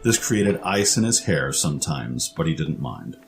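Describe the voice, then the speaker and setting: A man with a very low-pitched voice reads a scripted story as its narrator. Faint music plays underneath him. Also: sing-song, normal speed.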